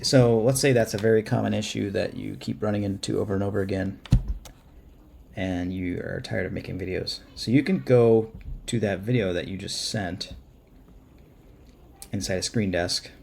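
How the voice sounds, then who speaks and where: A man with a very low, gravelly voice talks through a software tutorial in an informal way. He pauses and thinks aloud as he goes, unscripted and casual. There is noticeable background noise, with keyboard clicks around his speech. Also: steady tone. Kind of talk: casual unscripted screen-share walkthrough. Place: indoors at a computer.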